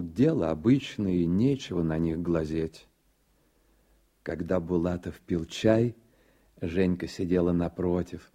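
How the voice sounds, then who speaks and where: A man's voice: deep voice, mellow. A man narrating a literary radio drama as a storyteller reading scripted prose. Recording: 1980s radio production, faint music.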